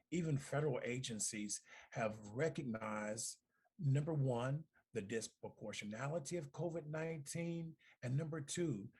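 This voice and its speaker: A middle-aged man delivering a congratulatory speech as a community organization director, deliberate and emphatic, with rhetorical repetition. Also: mid-range voice, a little mellow, husky. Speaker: community organization director giving recorded remarks. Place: at home.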